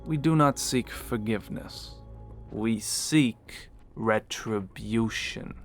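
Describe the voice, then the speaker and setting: A man's voice: on the low side, takes it slowly, soft background music. A man playing a grim human survivor set on retribution in an audio drama. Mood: grim, solemn.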